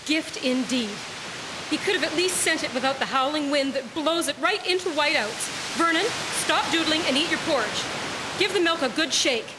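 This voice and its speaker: A woman playing a brisk, fretful 1920s housewife and mother in an audio drama. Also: high-pitched, expressive. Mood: exasperated. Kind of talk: scripted audio drama acting. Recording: clear hiss behind the voice.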